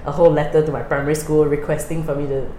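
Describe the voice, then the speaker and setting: A woman's voice: low-pitched, little pitch movement, racing, warm tone, a little hiss or hum. A young woman with a Singaporean English accent, chatting as an interview guest, laughing often and full of fillers. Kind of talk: casual interview chat. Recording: roomy with faint ambience.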